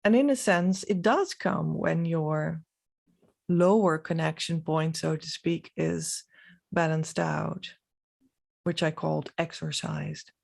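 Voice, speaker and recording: A woman from the Netherlands, a native Dutch speaker with a Dutch accent, giving a reflective, unscripted vlog monologue with halting, trailing phrases. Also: low-pitched, vocal fry, darkish tone. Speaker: spiritual vlogger.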